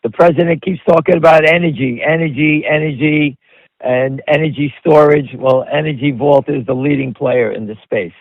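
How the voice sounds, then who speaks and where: A man with a somewhat high voice gives unscripted trading commentary as the host of a call. He is blunt and emphatic, hammering points through repetition and speaking straight to his listeners. The sound is dry and close, with little room in it. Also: steady tone, moderate pace, no room sound, narrow, tinny audio. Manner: conversational, talks directly to listeners. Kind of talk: off-the-cuff stock trading commentary.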